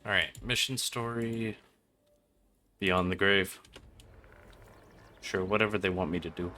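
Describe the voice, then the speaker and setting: A man's voice: sits low, fairly even intonation, a little slow, sharp tone, a little hiss or hum. A man giving casual live gaming commentary in a laid-back, joking, sweary way. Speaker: game streamer.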